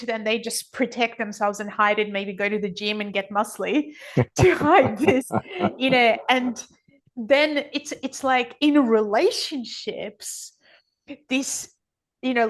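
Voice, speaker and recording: A woman's voice: a little high, fairly bright tone. A woman speaking as an interview guest, explaining her views at length in an earnest, emphatic way and repeating phrases for weight. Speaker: podcast guest, author and energy healer.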